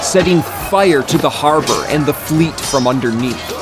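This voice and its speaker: A man narrating scripture in a solemn, deliberate, emphatic storytelling style, as in a dramatized audiobook. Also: fairly high pitch, loud music behind the voice.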